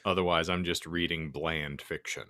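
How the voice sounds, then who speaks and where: A man's voice: a bass voice, fairly even intonation, moderate pace, pushed and bright. A man hosting a podcast, bantering in florid, wordy phrasing with a sardonic edge. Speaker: podcast host.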